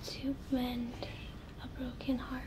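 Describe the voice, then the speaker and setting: A young woman in a whispery, breathy voice, gently and haltingly guiding the listener through an ASMR role play. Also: high voice, monotone, noisy background. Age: young adult.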